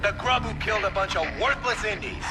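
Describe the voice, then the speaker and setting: An actor playing a stern, contemptuous middle-aged colonel in a video game cutscene, speaking in a clipped, commanding way. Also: male voice, high-pitched, a little fast, natural voice. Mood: angry, contemptuous. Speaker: military commander. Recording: loud music under the voice.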